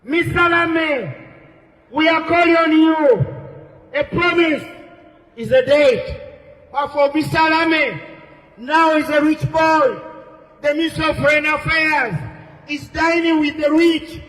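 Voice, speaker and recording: A man's voice: very high-pitched, slow, long pauses, fairly bright tone, long reverb tail, poor audio. A man making an emphatic, declamatory protest rally speech in short, repeated phrases. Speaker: protest speaker leading chants.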